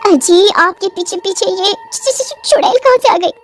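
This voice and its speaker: A woman voicing a good-hearted, caring village wife with a gentle, expressive cartoon delivery. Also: extremely high pitch, normal speed, slight background noise, pitched above the performer's own voice. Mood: frightened. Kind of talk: Hindi horror cartoon voice acting.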